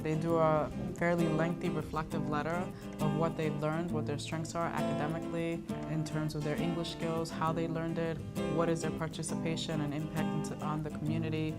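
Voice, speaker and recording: Very low-voiced woman explaining earnestly. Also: monotone, brisk, few pauses. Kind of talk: documentary interview. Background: guitar music.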